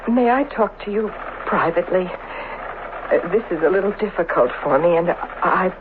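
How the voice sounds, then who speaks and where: A woman acting a worried, weary doctor's wife in an old-fashioned radio drama, anxious, halting and pleading. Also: on the low side, animated, lightly acted. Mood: nervous confiding. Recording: tinny, band-limited, noisy radio broadcast recording.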